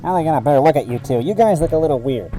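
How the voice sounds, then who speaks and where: Man voicing a nasal Sniffet guard, anxious, whiny, high-pitched, storybook. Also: lively intonation, rapid-fire, warm tone, faint music behind, lightly acted, poor audio. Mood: nervous. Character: timid nasal male Sniffet doorkeeper, jittery. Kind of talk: storybook voice acting.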